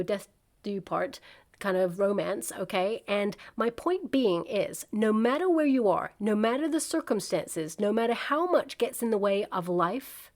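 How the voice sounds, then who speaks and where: A woman talks her viewers through a tarot spread. She sounds chatty and reassuring, often pausing to check in with them. Her voice sits at an ordinary middle pitch for a woman. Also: darkish tone. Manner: chatty and reassuring, frequent "okay?" asides. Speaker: tarot reader. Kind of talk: casual guided tarot reading.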